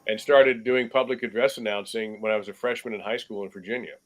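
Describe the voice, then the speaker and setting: A man in his sixties, a sports broadcaster, telling stories in a relaxed, measured way as a podcast guest from a cluttered room at home. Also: lowish voice, poor audio. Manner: relaxed anecdotal storyteller, measured. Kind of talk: casual remote interview storytelling.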